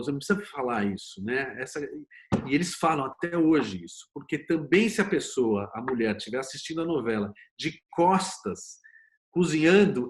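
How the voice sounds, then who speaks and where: A Brazilian man with a medium-pitched voice speaks as a guest in an interview. He explains conversationally, telling things like little stories. He often closes a thought with a short tag question. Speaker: interview guest, series producer and screenwriter.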